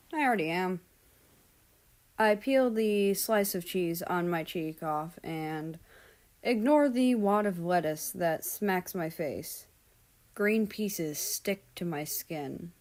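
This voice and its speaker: A woman's voice: fairly low pitch, darkish tone. A woman reading a piece of fan fiction aloud as scripted story narration.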